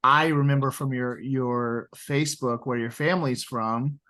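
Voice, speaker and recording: A man's voice: mid-range voice, fairly even intonation, measured pace, pushed and bright. A man hosting a pool podcast, asking easygoing questions in a casual interview. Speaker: podcast host.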